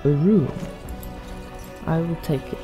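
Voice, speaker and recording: A man with a creaky voice hosting a let's play, speaking his character's lines in video game roleplay. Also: on the high side, slow pace, over music.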